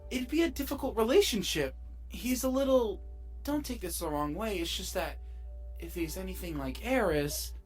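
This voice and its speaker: A woman's voice: mid-range voice, lively intonation, normal speed, a little mellow, slightly rough. A woman playing a wry, supportive female companion with a dryly playful streak in a fan audio drama. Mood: careful, reassuring. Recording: music behind the voice.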